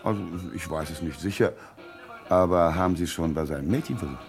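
A man's voice: deep voice, fairly quick, faint music behind, lightly acted. A man playing an evasive, slangy gossip being questioned in a radio crime drama, with an offhand manner.

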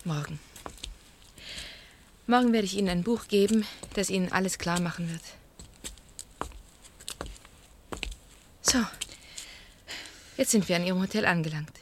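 An actress playing a cool, mysterious and resolute pianist in a radio play, speaking in a controlled, formal, unhurried way. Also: female voice, fairly low pitch, slight background noise, lightly acted. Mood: pensive. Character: cool, composed, mysterious female pianist, resolute daughter of murdered man. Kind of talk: 1970s German radio play acting.

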